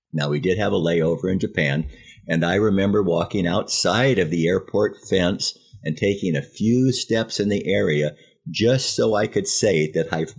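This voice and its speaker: American male in his 70s, low husky voice, wry storyteller recounting his own memories. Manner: wry, self-deprecating, dramatizes dialogue. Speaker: podcast host. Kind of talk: first-person memoir storytelling.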